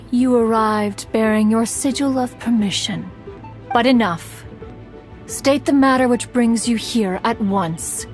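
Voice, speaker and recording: A woman's voice: fairly high pitch, a little mellow, close to an everyday voice. A woman playing a proud, aloof, ancient immortal hermit in a video game, speaking in formal, archaic phrases. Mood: aloof, impatient. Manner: haughty, formal, archaic, measured. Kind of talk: theatrical video game voice acting.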